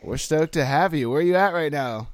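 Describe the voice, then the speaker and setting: A man's voice: fairly high pitch, a little fast. A man hosting a podcast, upbeat and enthusiastic as he introduces and questions his guest. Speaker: podcast host. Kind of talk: podcast interview.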